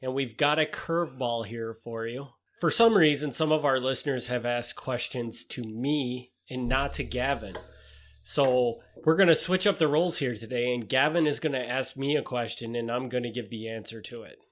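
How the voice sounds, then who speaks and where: A man's voice: mid-range voice, moderate pace. Easygoing Northeast Wisconsin man. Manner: laid-back, chuckles often, repeats words when starting. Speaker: podcast co-host. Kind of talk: casual chat.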